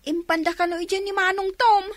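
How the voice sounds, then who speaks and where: A worried young female employee with an Ilocano accent. Her voice is very high and bright, recorded close and dry. She speaks urgently and respectfully, in radio drama style. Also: steady tone, a little fast, dry recording.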